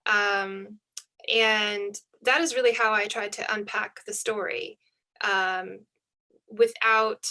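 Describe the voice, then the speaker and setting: A woman's voice: medium pitch, steady tone, slow, sharp tone. A woman author talking over a video call, thoughtful and searching, with frequent fillers and restarts. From United States. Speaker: author and panelist. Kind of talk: reflective conversation.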